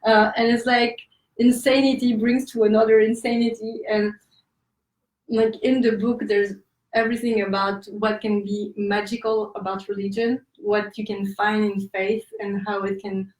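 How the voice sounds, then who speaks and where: A woman's voice: mid-range voice, level tone, moderate pace, a little mellow. French-accented woman, echoey and distant. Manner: hesitant, many fillers, laughing asides. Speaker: author guest. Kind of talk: interview answers. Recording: roomy echo, off-mic.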